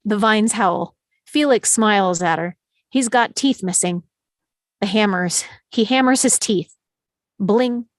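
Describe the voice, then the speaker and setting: A woman's voice: medium pitch, slow, no echo, clean studio sound. A woman reading scene directions as the narrator of a live script table read.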